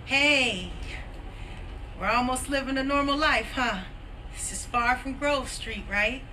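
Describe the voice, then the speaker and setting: Worried young street sister, high voice, caring, game cutscene, bit of noise behind. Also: female voice, slow pace, pushed and bright, exaggerated voice. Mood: concerned. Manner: concerned, colloquial. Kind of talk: video game cutscene acting.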